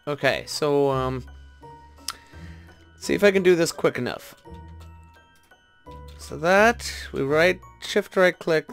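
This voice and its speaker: Man with a higher voice doing gameplay commentary. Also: fairly bright tone, smooth. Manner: self-questioning, thinking aloud. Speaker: gaming video host. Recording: music behind the voice. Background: background music.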